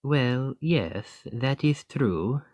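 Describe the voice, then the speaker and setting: A man playing a slow, dutiful knight bodyguard. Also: medium pitch, expressive, dry recording, dull audio. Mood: formal. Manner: formal, measured, dutiful. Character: loyal, formal veteran knight bodyguard. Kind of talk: ASMR roleplay acting.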